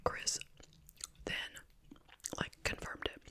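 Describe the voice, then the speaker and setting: An ASMR host speaking in a breathy whisper, telling casual, gossipy stories with pauses in a chit chat style. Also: sharp tone.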